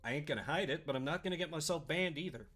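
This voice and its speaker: A man hosting a casual live stream, chatty and playfully sarcastic, his voice a little rough and scratchy today. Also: on the high side, rapid-fire, a bit bright, soft background music.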